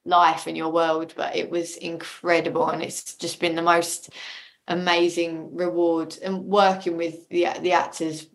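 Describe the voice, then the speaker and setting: Lower-pitched woman, enthusiastic. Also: little pitch movement, measured pace, gravelly. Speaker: podcast guest, audio drama maker. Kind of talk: casual interview chat.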